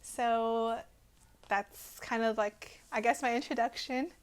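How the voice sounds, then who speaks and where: A woman's voice: on the high side, steady tone, takes it slowly, clear, resonant tone. A woman in her twenties with an American accent, giving a personal testimony to camera in an earnest, hesitant way. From Texas, USA. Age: 20s.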